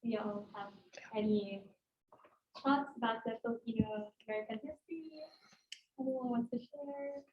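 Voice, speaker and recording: A woman's voice: a little high, fairly even intonation, normal speed, pauses often. A woman facilitating a student workshop discussion, welcoming everyone and asking the group open questions. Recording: noisy, roomy, dull virtual call audio.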